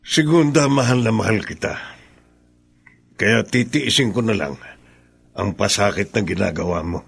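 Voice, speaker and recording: Casting: a middle-aged Filipino patriarch in radio melodrama, creaky, husky mid-range voice, earnest, long-suffering. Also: male voice, on the low side, moderate pace, faint music behind. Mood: pained, resigned. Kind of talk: Filipino radio drama acting.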